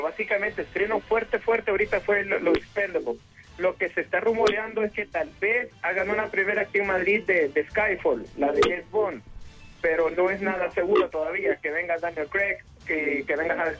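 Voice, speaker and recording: A man's voice: high voice, moderate pace, fairly bright tone, dull audio, faint music behind. A man from Honduras speaking as a correspondent on a film show, giving a casual, conversational report.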